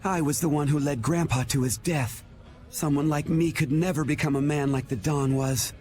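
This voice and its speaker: An actor playing a sullen, self-doubting young heir in a video game. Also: male voice, fairly high pitch, steady tone, moderate pace, a little mellow, close to an everyday voice. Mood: self-blaming.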